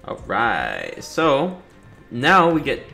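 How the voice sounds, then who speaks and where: A man's voice: medium pitch, huge pitch swings, extremely slow, vocal fry, sharp tone. A man giving casual, joking playthrough commentary, reacting to characters and reading captions aloud as host. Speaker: gaming video host. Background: video game music and sound.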